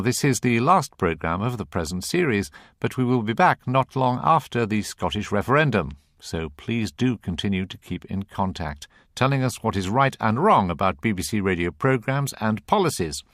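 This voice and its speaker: A man's voice: fairly low pitch, fairly bright tone, dry recording, clean studio sound. A man presenting a radio programme, reading scripted links and putting questions to interviewees.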